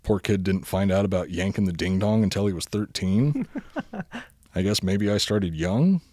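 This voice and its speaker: A man reading a comic bedtime story aloud as a podcast host, mostly deadpan but prone to giggling. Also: sits low, moderate pace, no room sound, studio quality.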